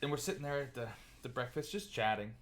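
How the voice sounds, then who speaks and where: A man chatting on a livestream, loud-voiced and animated, reacting to chat and rambling through opinionated stories. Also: mid-range voice, quick pace. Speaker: streamer. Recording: music playing clearly behind voice. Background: music.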